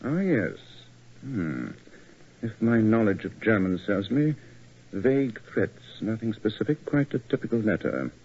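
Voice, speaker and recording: A cool, precise Victorian detective reasons aloud in a lively, slightly husky voice. The sound is dull and muffled, like an old 1940s radio broadcast. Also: male voice, fairly low pitch, a little slow, full character voice. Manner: crisp, precise deduction. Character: sharp, composed Victorian male detective.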